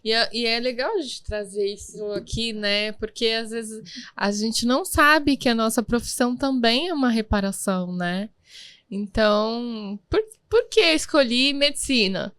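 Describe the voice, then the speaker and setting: A woman's voice: fairly high pitch, moderate pace, fairly bright tone, no echo. A woman hosting an interview, asking questions and encouraging her guest along. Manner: attentive, encouraging, many short affirmations. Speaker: interviewer, host. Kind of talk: interview conversation.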